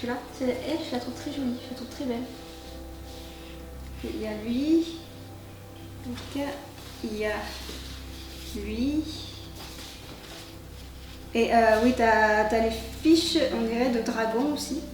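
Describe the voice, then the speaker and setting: Woman, unboxing host, casual chat, high-pitched, hesitant and appreciative, roomy echo. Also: measured pace, long pauses. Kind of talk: casual chat, showing items. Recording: roomy echo, music behind. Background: background music.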